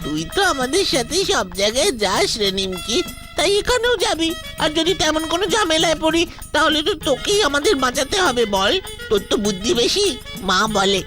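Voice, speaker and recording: A woman's voice: sits high, big pitch swings, fairly quick. A woman voicing a chatty, pushy young schoolchild in a cartoon, talkative and exclamatory. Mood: persuasive. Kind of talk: cartoon dub acting. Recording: music behind.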